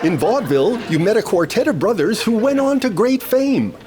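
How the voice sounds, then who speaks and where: A man's voice: high voice, very animated, a little fast, a little mellow. A man playing a TV tribute-show host, announcing grandly in 1950s broadcast style for a radio comedy. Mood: showmanlike. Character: smooth male TV tribute-show host reading a life story.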